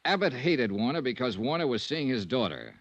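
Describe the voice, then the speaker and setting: A man playing a brisk, dogged, wry insurance investigator in a 1950s radio detective drama. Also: mid-range voice, sing-song, dry recording, dull audio. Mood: pointed. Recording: old radio drama recording.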